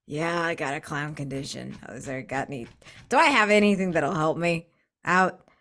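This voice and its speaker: A woman playing a tabletop game, chatting casually and reacting in an informal, expressive, wry way. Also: sits low, moderate pace.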